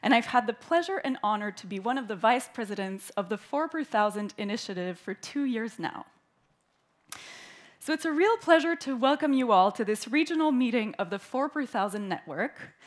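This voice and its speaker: Woman from Canada hosting a conference opening, fairly high voice, reading prepared welcome remarks. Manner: warm, clear and orderly, reading prepared remarks. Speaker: event host, opening speaker. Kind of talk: formal welcoming speech. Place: conference hall.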